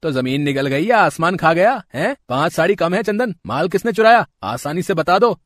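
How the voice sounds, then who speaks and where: A man voicing a stern, suspicious shop owner in an animated moral story, commanding and quick to scold. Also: a little high, no room sound, clean studio sound. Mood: angry, accusing.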